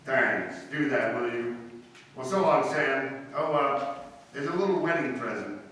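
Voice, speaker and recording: A man's voice: medium pitch, lively intonation, unhurried, fairly bright tone. A man acting in a 1940s-style radio drama as a suave, sardonic, needling ex-husband. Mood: breezy. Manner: wry, sardonic. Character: suave needling ex-husband, wry, urbane and lecturing. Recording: echoey hall from far off, faint noise.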